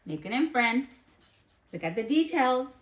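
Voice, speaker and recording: A woman teacher leading an interactive read-aloud for children, expressive and full of guiding questions. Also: high-pitched, normal speed, roomy sound. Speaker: elementary school teacher.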